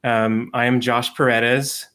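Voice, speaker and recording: A man hosting a casual livestream over a video call, relaxed and chatty, with frequent ums and laughs. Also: medium pitch, slow, smooth. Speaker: livestream host and sports writer.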